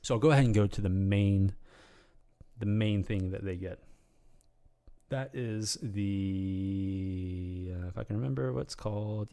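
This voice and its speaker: A man with a slightly hoarse voice giving an unscripted, rambling game tutorial, full of ums and restarts in a relaxed, casual way. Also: fairly low pitch. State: slightly hoarse, losing his voice. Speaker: game guide video creator.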